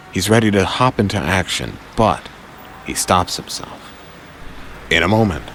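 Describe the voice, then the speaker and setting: A man narrating an audio drama in a suspenseful style, with deliberate phrasing and dramatic pauses. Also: sits low, a bit bright, a little hiss or hum. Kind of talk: dramatic scripted story narration.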